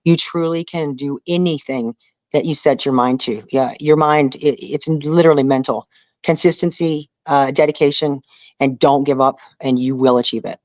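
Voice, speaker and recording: A woman's voice: very deep voice, fairly even intonation, moderate pace, darkish tone, dry recording. Earnest American woman, dull dry audio. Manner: earnest, rambling, peppered with "you know". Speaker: interview guest, outdoor survival show contestant and angler. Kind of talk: casual interview conversation.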